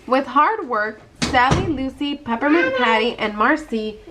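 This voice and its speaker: Higher-pitched woman reading a children's story. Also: animated, a little slow, background noise. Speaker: storybook reader. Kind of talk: children's read-aloud narration.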